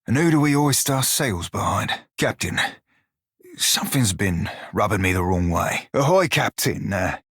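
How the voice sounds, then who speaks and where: An actor playing a gruff, wry, loyal blue-skinned pirate shiphunter in a video game, with a husky voice and archaic, swaggering nautical dialect. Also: male voice, on the low side, expressive, measured pace, fairly bright tone, dry recording, studio quality, exaggerated voice. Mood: frank, prickly. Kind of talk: video game character voice lines.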